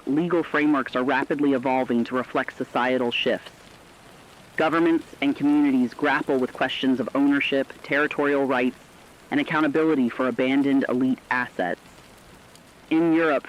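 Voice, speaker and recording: A man reading a scripted, dramatized radio news report as an anchor in a formal, measured way. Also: medium pitch. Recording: slightly dull, band-limited radio sound.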